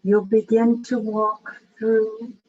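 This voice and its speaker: Slow, even-voiced woman guiding meditation. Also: a little high. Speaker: guided meditation leader. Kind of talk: guided meditation. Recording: dull, lo-fi with faint background noise.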